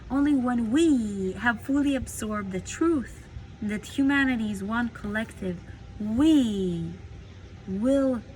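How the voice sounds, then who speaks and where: A woman's voice: mid-range voice, a little hiss or hum, poor audio. Latvian woman reading aloud at midnight. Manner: dreamy, emphatic, rambling commentary between read passages. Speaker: spiritual book reader.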